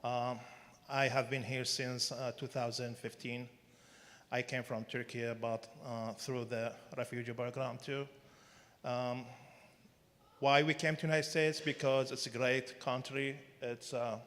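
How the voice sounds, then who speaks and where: A middle-pitched man from Iraq speaks in an even, flat delivery, pausing often and searching for words. His remarks ring out with a long hall reverb from a handheld microphone. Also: slight background noise. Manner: hesitant, many fillers, careful non-native phrasing. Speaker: new citizen speaking at a ceremony. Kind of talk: halting public remarks.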